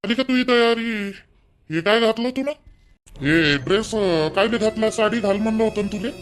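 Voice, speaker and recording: A man's voice: sits high, moderate pace, close to an everyday voice. A man voicing a stern, controlling young village husband in a cartoon, giving reproachful orders in a Vidarbha Marathi accent. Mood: displeased. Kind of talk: cartoon voice acting.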